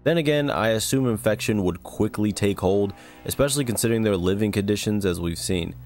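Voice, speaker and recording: Low-pitched male video essay narrator. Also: fairly quick, a little mellow, soft background music. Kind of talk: scripted narration analysing a horror series.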